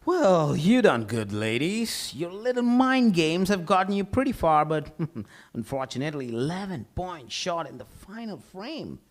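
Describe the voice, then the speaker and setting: Man playing a smug, hot-tempered guy with animated pitch. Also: unhurried. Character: smug, condescending, hot-tempered male bowler. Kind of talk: live comedy table read of a sitcom script.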